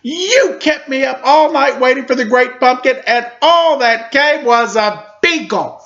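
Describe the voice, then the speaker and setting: A man's voice: very high-pitched, lively intonation, a little slow, fairly bright tone, voice pushed up, heavily acted. A man voicing an indignant little girl who complains in an exasperated tone. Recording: slightly dull lo-fi recording.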